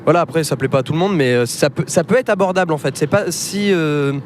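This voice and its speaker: A young man talking enthusiastically, full of hesitations as he reels off lists. His voice sits a little high. A faint car hum runs underneath. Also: fast. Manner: enthusiastic, list-making, hesitant. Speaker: podcast co-host. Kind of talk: casual chat. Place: inside a moving car.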